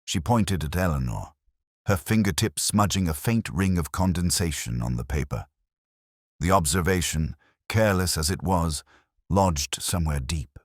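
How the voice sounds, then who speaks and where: A middle-aged man reading a scripted first-person detective story in a measured, reflective, literary way. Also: low voice, animated, no room sound, studio quality. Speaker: audiobook-style narrator of a detective story.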